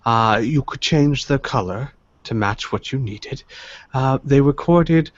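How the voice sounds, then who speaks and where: Man as old wolfen shopkeeper, slow measured pace, courteous, musing pauses, shrewd, tabletop game, dry muffled sound. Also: mid-range voice, dry recording. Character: polite old wolfen tea-house keeper, a shady dealer.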